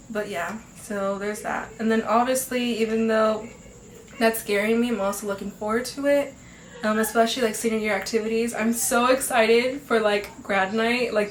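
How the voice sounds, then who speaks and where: A woman's voice: on the high side, slight background noise. A teenage girl hosting her own podcast, chatting casually with lots of fillers, sounding a bit nasal from congestion. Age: teens. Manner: chatty, upbeat, many fillers and laughs. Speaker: podcast host. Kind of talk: casual chat. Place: home room. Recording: echoey home room, faint ambience.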